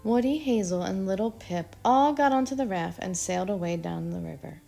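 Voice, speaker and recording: A woman reads a children's story aloud with a lively, expressive delivery. Her voice has a gravelly texture. Faint music plays behind her. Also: mid-range voice, moderate pace. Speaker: storybook reader. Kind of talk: children's read-aloud narration.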